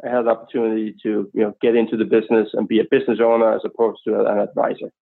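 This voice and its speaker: A man's voice: lowish voice, flat delivery, rapid-fire, vocal fry. A man from Denmark with a Danish accent, a podcast guest who talks fluently with many "you know" fillers. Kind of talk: podcast interview. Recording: tinny phone-line sound.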